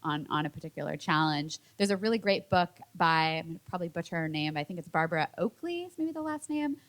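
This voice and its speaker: Low-voiced woman on a panel. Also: lively intonation, fast, fairly bright tone. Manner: thoughtful, builds long linked sentences with restarts. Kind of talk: panel discussion. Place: public panel event.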